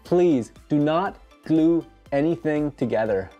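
A man's voice: fairly high pitch, lively intonation, slow pace, warm tone, muffled recording. Casual man with music behind him. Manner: jokey, tongue-in-cheek asides. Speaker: host of a home gym DIY channel. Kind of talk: casual instructional vlog to camera. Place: garage home gym, indoors.

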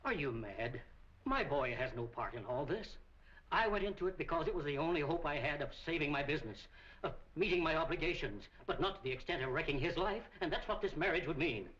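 A man's voice: fairly high pitch, lively intonation, a little fast, fairly bright tone. An older actor playing a stern, embattled businessman father in a 1940s film, with formal, indignant diction. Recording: muffled old 1940 film soundtrack.

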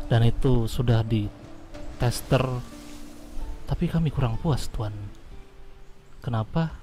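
A man playing a deferential gang member in an online game roleplay, speaking formally and haltingly. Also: mid-range voice, dark tone, close to an everyday voice. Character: deferential male gang member asking for weapons.

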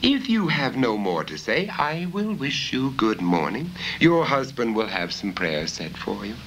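A man's voice: medium pitch, sing-song, measured pace, fairly bright tone, slight background noise, rough recording. A man voicing a prim, polite, reproving lady's maid in a storybook reading.

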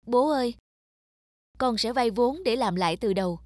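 Woman voicing an earnest young man, high-pitched. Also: lively intonation, normal speed, darkish tone, dry recording, studio quality. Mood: stubborn resolve. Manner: earnest, resolute. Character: earnest, determined young man who farms fish. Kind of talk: cartoon dub acting.

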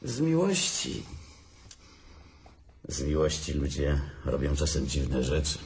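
A man's voice: very deep voice, huge pitch swings, takes it slowly, darkish tone, heavily acted. An actor playing a bitter, drunk older brother who mocks and laughs at his sibling in a radio drama. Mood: taunting. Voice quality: sneering, laughs often.

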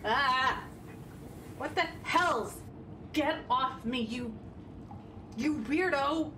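A woman's voice: high voice, extremely slow. A woman playing a sarcastic, tough, fiercely loyal punk gunslinger in an audio drama, brash in manner. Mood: flustered, sarcastic. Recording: distant mic, noisy background.

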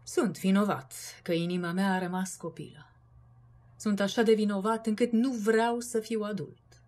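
A woman with a breathy, husky voice giving an expressive recitation of poetry. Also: mid-range voice. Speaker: poetry reader.

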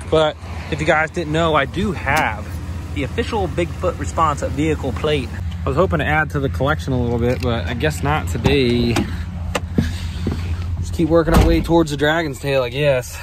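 A man's voice: medium pitch, moderate pace. A young man talking casually and upbeat as he vlogs a road trip from his van and the outdoors, filmed on a phone held at arm's length. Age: young adult. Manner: laid-back, upbeat, says "freaking sick" a lot. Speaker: vlogger. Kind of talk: casual travel vlog. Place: in a van and outdoors on mountain roads. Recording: outdoor noise on a phone mic.

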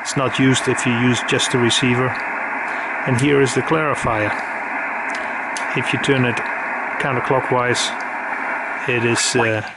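A man giving a casual, unscripted demonstration in a matter-of-fact way, pausing mid-sentence as he goes. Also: medium pitch, level tone. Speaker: amateur radio hobbyist presenter. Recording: loud background noise.

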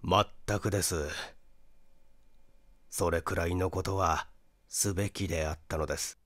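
A man playing a grave, regretful brother who explains in a measured, halting way, in a story reading. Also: deep voice, fairly even intonation, fairly bright tone, no echo, pitched below the performer's own voice. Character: grave, regretful brother recounting a family mystery.

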